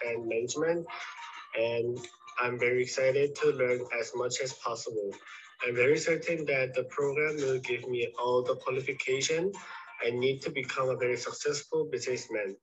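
A man's voice: medium pitch, steady tone, normal speed, highs cut off. An eighteen-year-old man from Korea giving a scripted student video message, stumbling a little. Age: teens.

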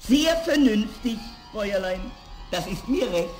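An actor playing a small shaggy devil in a 1960s fairy-tale radio play, sly, gloating and hot-tempered in a theatrical way. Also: male voice, high voice, very animated, unhurried, reverberant, faint music behind. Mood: pleased.

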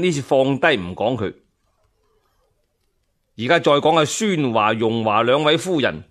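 Male Cantonese solo storyteller for radio serial. Slightly raised pitch, slow pace, expressive, conversational asides. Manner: colloquial, expressive, addresses listeners with asides. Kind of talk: traditional Chinese radio storytelling, dramatized narration.

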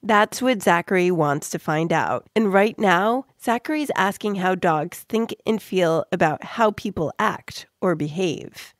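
A woman hosting a kids' science podcast, explaining things clearly and simply in a conversational way. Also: on the low side, animated, unhurried, hardly any pauses, no room sound, studio quality. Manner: clear simple explaining, addressing young listeners. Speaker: podcast host.

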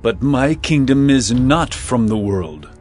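An actor playing a calm, resolute prisoner in a scripture drama, speaking in a measured, earnest, solemn way. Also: male voice, mid-range voice, faint music behind. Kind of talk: dramatized scripture audio acting.

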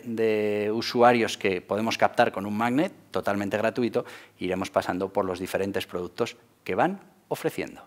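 A man, hosting an explainer video to camera from a studio desk. He gestures broadly and leans on fillers like "bueno, pues". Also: lowish voice. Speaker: host of a channel on marketing for entrepreneurs. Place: indoor studio set with a porthole backdrop. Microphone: lapel mic, seated at a desk.

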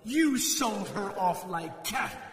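A man's voice: sits high, animated, slow, slight background noise, pitched above the performer's own voice. A man voicing an indignant, accusing Greek goddess in a dramatized children's myth. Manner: accusing, exasperated. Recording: echoey reverberant voice effect.

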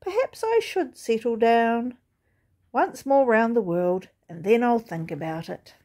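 A woman's voice: fairly high pitch, animated, a little slow, mellow. A woman reading a storybook, voicing a cheerful, roving old male swagman with an easygoing manner. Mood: hearty.

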